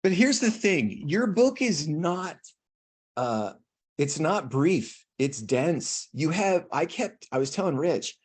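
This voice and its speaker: An enthusiastic male podcast host with a slightly husky voice. He talks in a loose, conversational way during the interview. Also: on the high side, very animated, slow. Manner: enthusiastic, complimentary.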